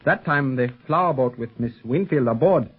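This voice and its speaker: A composed, courteous secret police agent, played by a man in an old 1930s radio adventure. He speaks formally and carefully in a slightly rough, medium-pitched male voice. The sound is narrow and tinny. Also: lightly acted. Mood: assured, formal. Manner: formal, measured, avoids contractions. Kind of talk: 1930s radio serial acting.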